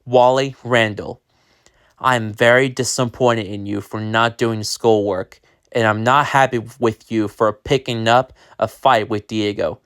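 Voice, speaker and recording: Brief: reader playing stern principal man, slightly lowered voice, slow. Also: fairly even intonation, smooth, no room sound, clean studio sound, lightly acted. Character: stern disappointed male school principal.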